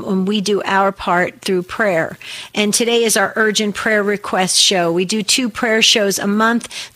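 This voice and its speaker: Woman radio host, earnest and chatty, a bit raspy, close dry studio sound. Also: medium pitch, dry recording. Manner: earnest and warm-hearted, quick with fillers and restarts. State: slightly raspy voice from a long day on air. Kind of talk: live call-in radio prayer show. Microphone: studio microphone.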